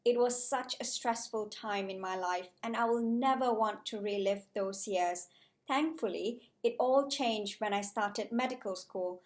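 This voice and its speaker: A woman in her twenties from Malaysia, a junior doctor talking to her viewers in a measured, carefully prepared vlog style. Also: medium pitch. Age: 20s. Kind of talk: scripted-sounding casual vlog talk to camera.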